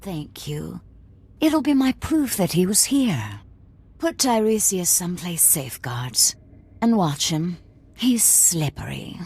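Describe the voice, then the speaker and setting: A woman playing a languid, sadistic crime boss in a video game, with a purring, teasing, drawn-out delivery that drops into whispers. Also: fairly low pitch, big pitch swings, darkish tone, slightly rough, exaggerated voice. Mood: coolly menacing. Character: sadistic, languid crime boss lady who savors cruelty.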